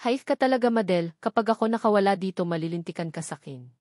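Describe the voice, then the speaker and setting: A woman's voice: medium pitch, lively intonation, fast talker, a little mellow, no room sound, studio quality, lightly acted. A woman voicing a tough, defiant captive wife, bold and scornful, in a storybook reading. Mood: angry muttering.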